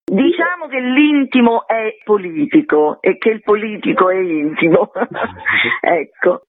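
A woman's voice: medium pitch, lively intonation, slow pace, no echo. A woman explaining things in an informal, self-ironic way as a theatre guest in a radio interview over the phone. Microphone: phone call to a radio show. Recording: tinny, muffled phone line.

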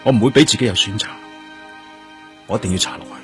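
A man's voice: fairly low pitch, fast talker, soft background music, close to an everyday voice. A man acting a brooding, grief-stricken but determined police detective in a radio drama. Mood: grim, resolute. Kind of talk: Cantonese radio drama acting, introspective narration.

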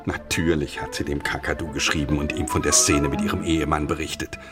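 A man's voice: a bass voice, level tone, fast talker, loud music behind the voice. A man playing a proud, jealous engineer husband in a radio drama, theatrical, sarcastic and self-righteous. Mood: suspicious, indignant.

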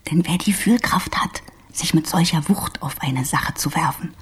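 A woman's voice: low voice, moderate pace, breathy edge. An actress playing an earnest, knowing townswoman in a fairy-tale radio drama, half-whispering her lines. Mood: intimate, earnest.

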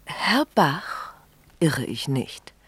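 A commanding upper-class wife in a sixties radio drama. She speaks in a measured, suggestive, imperious way, dry and close. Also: deep voice, big pitch swings, fairly bright tone, slightly rough, dry recording, exaggerated voice. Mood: calculating. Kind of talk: 1960s German radio play acting.

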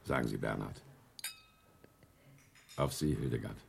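Very deep, gravelly, suave seducer. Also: male voice, measured pace, a little hiss or hum, heavily acted. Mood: suave, coaxing. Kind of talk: German radio drama acting. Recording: studio radio play with loud music underneath.

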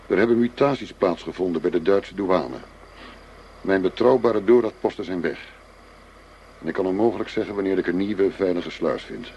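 Tough undercover cop, very low voice, firm, businesslike, radio play, faint noise. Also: male voice, moderate pace, darkish tone, highs cut off. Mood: hard, businesslike. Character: shrewd undercover policeman posing as tough money-smuggling dealer. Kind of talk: radio drama acting. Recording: noisy radio play recording with ambience.

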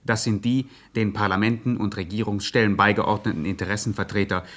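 An actor playing a deadpan, officious commentator in a satirical radio play. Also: male voice, on the low side, brisk. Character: dry, pedantic male translator-commentator with mock-official air. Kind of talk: scripted narration of radio crime satire.

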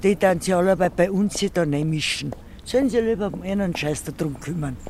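A man's voice: sits high, brisk, a little mellow. A man with a Bavarian accent giving blunt, colloquial opinions in a street interview. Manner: blunt, gruff wording. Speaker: local passer-by being interviewed. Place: outdoors on a street. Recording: faint traffic noise.

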